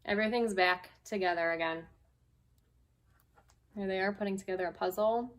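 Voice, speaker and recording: A woman's voice: mid-range voice, slight background noise. Woman storyteller speaking simply to children. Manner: simple, deliberate phrasing for young children. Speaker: guest storyteller, school staff member. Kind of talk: children's story read-aloud and advice.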